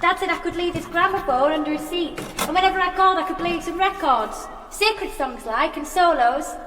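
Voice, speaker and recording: High-voiced forthright Yorkshire country girl. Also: moderate pace, a bit bright, slight background noise. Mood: chatty, curious. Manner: blunt, inquisitive, chatty. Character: forthright chatty country girl, stationmaster's daughter. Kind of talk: radio drama acting. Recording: echoey church hall, music behind.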